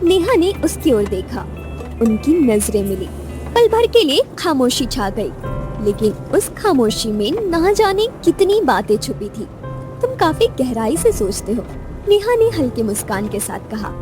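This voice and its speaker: A woman with a very high voice narrates a romantic audio story. Her delivery is expressive and lively in pitch, with music playing underneath. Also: unhurried.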